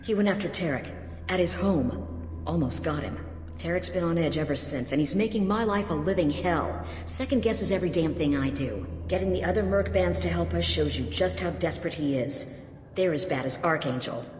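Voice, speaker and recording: An actress playing a cynical, hard-bitten mercenary lieutenant in a video game, dry and matter-of-fact. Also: female voice, lowish voice, normal speed, darkish tone, lightly acted. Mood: bitter. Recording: roomy hall reverb, noisy ambience.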